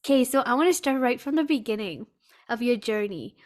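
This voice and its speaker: Casual woman podcast host, higher-pitched voice. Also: animated, fairly quick. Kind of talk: casual interview chat.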